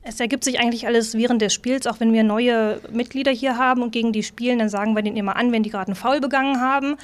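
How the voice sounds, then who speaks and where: A woman's voice: a little high, steady tone, very fast. Chatty, easygoing woman in her twenties. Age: 20s. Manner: chatty, self-deprecating, easygoing. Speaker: table football player. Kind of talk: interview statements.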